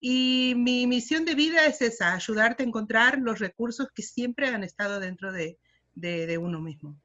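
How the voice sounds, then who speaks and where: Bright-voiced woman on a dull call line. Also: a little high, normal speed. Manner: warm, hesitant, many fillers. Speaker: emotional healing therapist. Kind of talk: self-introduction. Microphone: video call.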